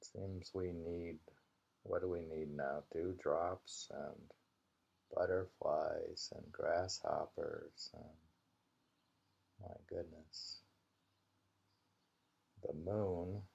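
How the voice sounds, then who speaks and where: A man reading haiku slowly and commenting on it casually, in an unhurried, musing way. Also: low voice, steady tone, creaky, a little mellow, slightly rough. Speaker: reader of a haiku anthology. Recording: dull lo-fi home recording.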